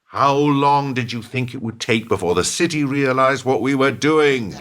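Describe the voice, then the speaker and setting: A man's voice: medium pitch, moderate pace, fairly bright tone, close to an everyday voice. A man acting in a radio play as a cynical, scheming businessman, scornful and unrepentant, with a theatrical, pointed delivery. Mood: scornful, exasperated.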